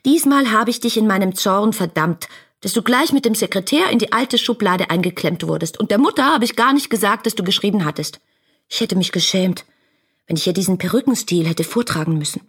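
An actress playing a headstrong, lovestruck young woman in a radio play, voicing her letters with breathy, ardent intimacy. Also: medium pitch. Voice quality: husky, breathy.

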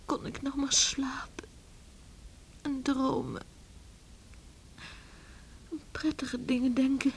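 A woman's voice: high-pitched, flat delivery, slow, pauses often. An actress playing a headstrong, troubled young village woman in a radio play, theatrical and emotional. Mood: troubled brooding. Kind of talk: Dutch radio play acting. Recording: noisy old radio drama recording.

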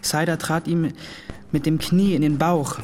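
A man's voice: on the high side, steady tone, a little slow, darkish tone, natural voice. A young man playing a tense teenage boy who recounts in plain, clipped phrases in a radio play. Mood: tense, matter-of-fact. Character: tense teenage boy recalling a rooftop confrontation. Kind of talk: German radio play acting.

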